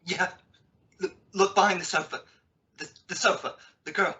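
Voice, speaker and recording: An actor playing a frantic, broken murderer who stammers and repeats himself in an old-time radio melodrama. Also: male voice, sits high, fairly even intonation, normal speed, sharp tone. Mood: broken, confessing. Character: frantic, broken male murderer confessing his crimes. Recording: dull, distant from the mic.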